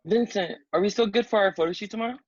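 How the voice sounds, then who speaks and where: A man's voice: high voice, fast talker. A man playing an upbeat, tactful young roommate in a comedy table read, heard through dull, lo-fi audio. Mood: eager. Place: at home, remote table read.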